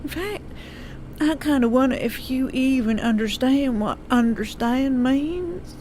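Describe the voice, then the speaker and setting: A woman playing a slow-talking, wounded mother, high-voiced. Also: a little mellow, slight background noise. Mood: hurt, sarcastic. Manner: drawn-out, dramatic, hesitant pauses and sighs. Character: wounded, theatrical country mother, sarcastic and stubborn. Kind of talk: audio drama acting.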